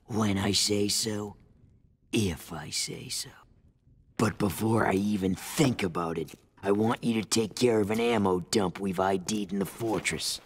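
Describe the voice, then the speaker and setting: A gruff rebel boss from a video game with a very low, husky voice. He gives orders in short, curt sentences and sounds scornful of the people he sends out. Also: unhurried. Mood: commanding. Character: gruff male rebel leader giving orders. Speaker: game character.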